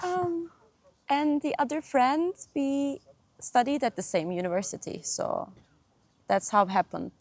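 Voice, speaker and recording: A young woman from Turkey, whose first language is Turkish, chatting as a guest musician in an interview, laughing often and pausing with fillers. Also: sits high, a little mellow, dry recording. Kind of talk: casual interview chat.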